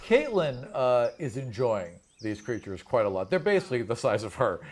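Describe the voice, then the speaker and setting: Man running a tabletop game, conversational and halting. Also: medium pitch, very animated, a little hiss or hum. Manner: conversational, halting and rephrasing as he describes scenes. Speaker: game master of a tabletop fantasy game. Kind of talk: improvised game narration mixed with casual table chat.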